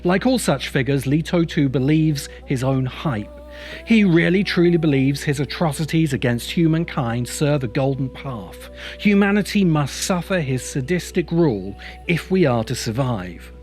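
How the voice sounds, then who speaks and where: A man's voice: a little high, animated, normal speed, darkish tone. A man delivering scripted video essay narration in an emphatic, opinionated, rhetorical manner. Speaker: host and writer of science fiction video essays. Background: background music.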